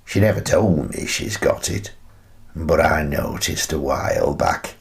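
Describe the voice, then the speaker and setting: A gentle, eccentric older British man, played by an actor, speaks in a deep voice at a very unhurried pace. He rambles wistfully through a radio play monologue, pausing and hesitating as he reflects. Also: very animated, gravelly, full character voice. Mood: wry, rambling. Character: gentle, wistful, eccentric old grandfather living in a playhouse.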